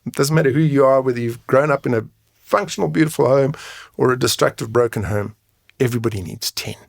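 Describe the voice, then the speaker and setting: A man's voice: mid-range voice, fast, gravelly, no room sound, studio quality. A man explaining things frankly and conversationally as an interview guest, often checking his points with a tag question. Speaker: guest, men's mental health coach and advocate.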